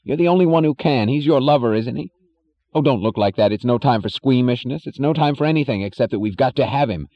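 A man doing a shrill, panicked executive in a frenzy. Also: lively intonation, fast talker, dull audio, lightly acted. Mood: pleading. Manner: shrill, frantic, pleading outbursts. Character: panicked, whining middle-aged male executive.

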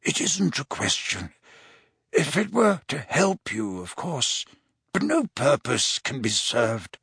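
A man's voice: mid-range voice, huge pitch swings, slow, gravelly, fairly bright tone, husky, no echo, clean studio sound, heavily acted. A man voicing a tipsy, weepy old male potions master who talks in an emotional, fretful way with hiccups. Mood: tearful. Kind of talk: audiobook character voice.

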